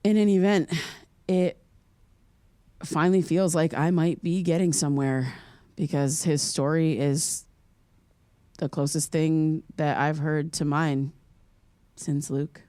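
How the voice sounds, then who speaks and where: An actress playing an earnest, curious young American podcaster in an audio drama, speaking in a conversational, slightly hesitant way. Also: female voice, low-pitched, fairly even intonation, slow pace, long pauses, warm tone, no echo. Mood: casual, candid. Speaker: podcast host character.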